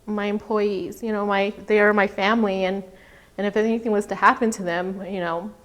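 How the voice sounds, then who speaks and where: A young adult woman from Denver, a salon owner, speaking earnestly and with emotion in a news interview, halting at times. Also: mid-range voice, flat delivery. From Denver, USA.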